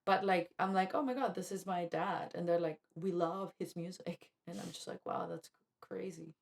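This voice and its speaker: Colombian woman, low gravelly chatty voice. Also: normal speed. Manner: animated, quotes herself, fillers like "like". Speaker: podcast co-host. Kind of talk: casual conversational storytelling.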